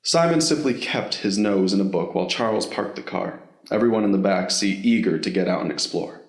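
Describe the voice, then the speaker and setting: A man's voice: deep voice, animated, brisk, echoey room. A man reading scripted story narration for an audio drama in the role of narrator.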